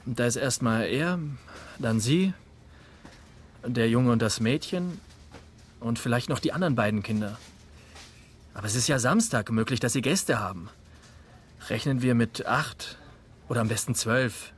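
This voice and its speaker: A brash young male criminal played by an actor in a radio drama. He is brusque, slangy and dismissive, with a mid-pitched voice. Clear ambient noise sits behind him. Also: measured pace, long pauses, close to an everyday voice. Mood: cocky, cold.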